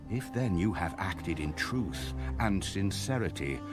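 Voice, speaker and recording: A man narrating scripture in a solemn, measured and dramatic style. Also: deep voice, lively intonation. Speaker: audio Bible narrator. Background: background music.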